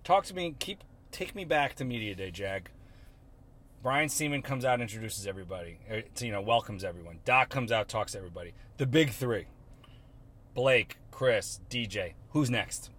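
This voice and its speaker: A man's voice: medium pitch, animated, normal speed, fairly bright tone. Jokey man hosting a sports chat. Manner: jokey, teasing, does silly voices. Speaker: podcast host.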